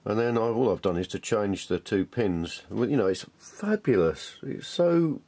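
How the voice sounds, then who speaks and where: A man with a lowish voice presents a hands-on tutorial. He is chatty and keen, explaining each step informally as though thinking aloud. The delivery is that of an enthusiastic hobby teacher. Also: animated, normal speed, darkish tone.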